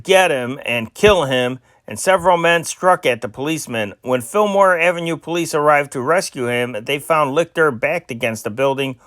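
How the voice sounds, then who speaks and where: A man's voice: on the high side, fairly bright tone, dry recording, clean studio sound. A man reading old newspaper stories aloud as an amateur news reader, stumbling and correcting himself now and then.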